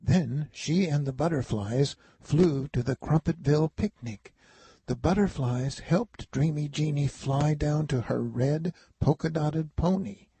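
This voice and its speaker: Slow, husky man reading a storybook. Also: fairly high pitch, dark tone, no room sound. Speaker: storybook narrator. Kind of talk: children's read-aloud narration. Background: music.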